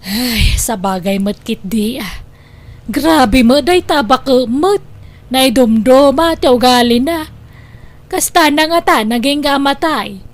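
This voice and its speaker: A woman's voice: high voice, slow. A woman with an Ilocano accent playing an outspoken, gossipy village neighbour in a comedy drama. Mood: gossipy, disapproving. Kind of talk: Ilocano comedy radio-style drama acting.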